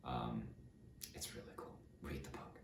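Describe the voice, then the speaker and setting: Low-pitched man in an echoey room. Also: fairly even intonation, unhurried, vocal fry, distant mic, slight background noise. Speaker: narrator, audiobook reader. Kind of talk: casual aside to the audience.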